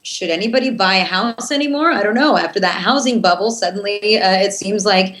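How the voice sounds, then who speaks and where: A young woman, answering interview questions earnestly and passionately in a steady, flowing way. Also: medium pitch, brisk. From United States. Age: 20s. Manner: earnest, passionate, steady flow with filler restarts. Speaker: guest, TV news host.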